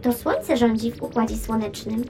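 A woman's voice: fairly high pitch, normal speed, natural voice. A woman voicing an intelligent, friendly female space probe, explaining simply in a scripted children's science lesson. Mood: instructive. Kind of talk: scripted educational narration. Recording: music playing behind the voice.

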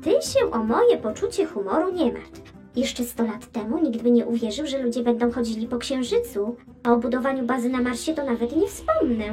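Lady playing a friendly space probe for a kids audio story, high bouncy voice, playful explainer, music underneath. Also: lively intonation, normal speed, darkish tone, natural voice. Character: cheerful female space probe, friendly, curious, gently teasing. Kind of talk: children's educational audio drama.

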